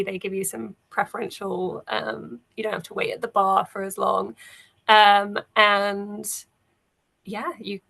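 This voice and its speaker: A woman improvising narration as game master of a tabletop game, in a halting, conversational way. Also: mid-range voice, gravelly, sharp tone.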